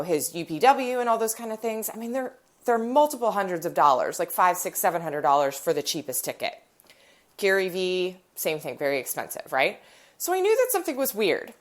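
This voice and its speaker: A woman hosting a podcast, stuffy and nasal from a cold, chatting in a rambling storytelling way, recorded on her phone in her living room. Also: medium pitch. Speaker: podcast host. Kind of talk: casual chat.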